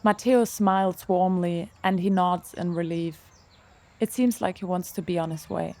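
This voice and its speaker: A woman reading scripted narration for an audio drama in a gentle, measured way. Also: fairly low pitch, steady tone.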